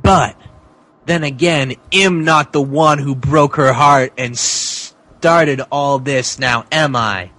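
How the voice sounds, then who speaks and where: A man's voice: mid-range voice, sharp tone, pitched below the performer's own voice. A man voicing an arrogant, scheming wrestling boss who gives imperious orders in a mock-dramatic story reading. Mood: smug, taunting. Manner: imperious, drawn-out commands.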